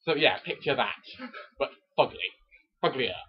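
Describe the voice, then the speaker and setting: A man with a husky voice doing an amateur mock-dramatic reading of a romance novel, with chatty asides. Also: mid-range voice, expressive, moderate pace. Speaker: amateur narrator. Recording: dull lo-fi home recording.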